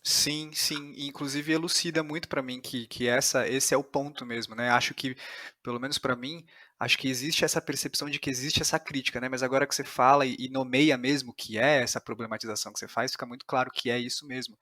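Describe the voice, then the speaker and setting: Bright-voiced Brazilian man, tentative interviewer. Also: medium pitch, steady tone, fast talker, no room sound. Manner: tentative, hesitant, rephrasing questions. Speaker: podcast interviewer. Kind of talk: casual interview.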